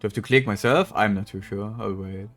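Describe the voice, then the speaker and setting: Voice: low voice, brisk, a bit bright. A game streamer giving casual, sweary live commentary, muttering to themselves while playing. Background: game music.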